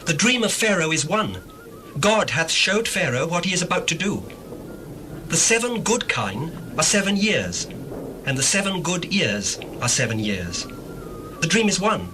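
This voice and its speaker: An actor playing an earnest young Hebrew dream interpreter in a dramatized scripture reading, solemn and declamatory. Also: male voice, a little high, sing-song, measured pace, background noise. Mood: earnest, prophetic.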